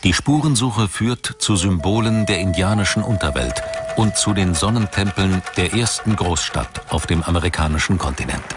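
A man reading scripted documentary narration in a measured, dramatic storytelling manner. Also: sits low, lively intonation. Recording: music under the voice. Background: background music.

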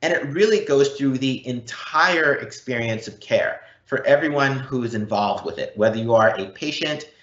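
Man, consultant presenter, explanatory, conversational check-ins, mid pitch, echoey room, muffled online audio. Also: animated, normal speed, few pauses, a bit bright. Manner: explanatory, checks in with "right?" and "okay?". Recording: roomy, slightly dull online event audio.

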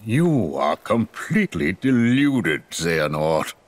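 An actor playing a grave, remorseful older scientist in a video game, solemn and deliberate, with a husky voice. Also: male voice, big pitch swings, heavily acted. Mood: stern. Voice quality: husky, very deep. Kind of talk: dramatic video game cutscene acting.

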